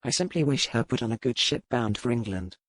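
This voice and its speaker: A man's voice: medium pitch, animated, brisk, a bit bright, dry recording. A man playing a guarded, impatient ship's captain, terse and formal, in a historical romance audiobook. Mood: curt, insistent. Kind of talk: dramatised audiobook dialogue.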